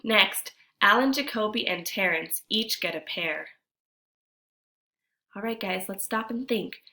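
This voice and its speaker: A woman teacher reading a picture book aloud to children, expressive and deliberate, pausing to put questions to her young listeners. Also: medium pitch. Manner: addresses children directly, deliberate and animated.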